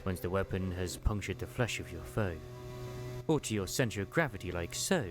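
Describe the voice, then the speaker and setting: Low-voiced, formal young first mate, acted by a man. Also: expressive, music in the background. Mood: instructive. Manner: archaic, measured, instructive wording. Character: soft-spoken, formal young first mate instructing sailors.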